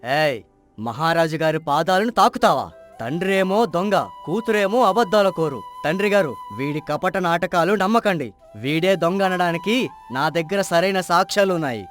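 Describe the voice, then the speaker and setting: A man's voice: high voice, lively intonation, moderate pace, a bit bright, soft background music. A man playing deceitful palace men, a prince and a guard, in a folk tale drama, insistent but uneasy. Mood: accusing. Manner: insistent, faltering when challenged. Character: scheming young prince and lying, nervous palace guard.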